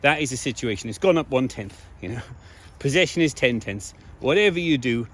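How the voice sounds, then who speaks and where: Male vlogger, slightly hoarse voice, emphatic and informal, mid-pitched, recorded outdoors in town. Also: expressive, a little fast. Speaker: video blogger. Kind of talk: casual monologue to viewers.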